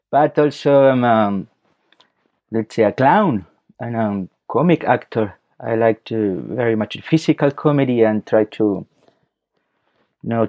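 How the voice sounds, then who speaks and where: Male podcast guest, Chilean Spanish accent, mid-range voice, halting casual delivery, slightly dull recording. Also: mid-range voice, a little mellow. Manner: halting, searching for words. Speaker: podcast guest, circus clown and comic actor. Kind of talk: casual interview talk. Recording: dull lo-fi audio.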